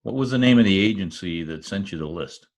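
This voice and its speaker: A wry private eye from an old radio serial, played by a man. His low voice swings widely in pitch as he drops dry, deadpan wisecracks. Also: fairly quick. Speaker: actor in an amateur radio-play reenactment. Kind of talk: old-time radio detective drama acting. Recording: remote home recording over video call.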